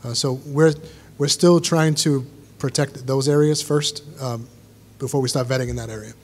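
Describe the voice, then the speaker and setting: A man answering safety questions from a podium at a town meeting, in a brisk, reassuring manner. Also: medium pitch, fairly even intonation, a little mellow. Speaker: school safety official. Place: school auditorium stage.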